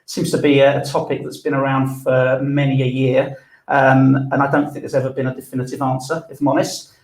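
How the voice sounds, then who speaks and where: A man's voice: medium pitch, completely flat delivery, a little fast. A man hosting a live webinar panel, chatty with plenty of fillers and restarts. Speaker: webinar host. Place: event venue room. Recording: echoey room.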